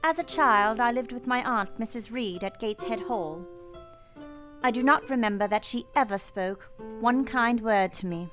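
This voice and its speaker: A woman playing an earnest young orphan who narrates her childhood in measured first person for a radio play. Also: a little high, faint music behind, close to an everyday voice. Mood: sober, reflective.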